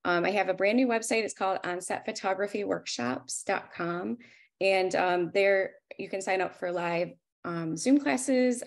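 A woman's voice: fairly low pitch, vocal fry. A woman in her forties speaking candidly and conversationally as she presents her photography work on a video call. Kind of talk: informal slide presentation.

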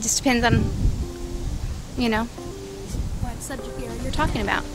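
Female street interviewee, slightly high voice, off-the-cuff delivery outdoors, clear music underneath. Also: steady tone, measured pace, low-quality recording. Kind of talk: unscripted street interview answer. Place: outdoor parking lot.

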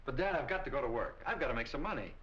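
A defiant young son played by a male actor in an old film drama. He is clipped and quick, with lively intonation, on a muffled 1940 soundtrack. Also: medium pitch, close to an everyday voice. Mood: earnest. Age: young adult. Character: defiant, clean-cut young son of a businessman. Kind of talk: 1940s film acting.

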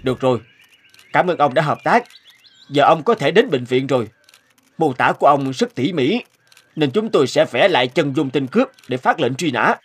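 A man voicing a stern, shrewd police investigator in an animated moral tale, formal and authoritative. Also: on the high side, moderate pace, husky. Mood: formal questioning.

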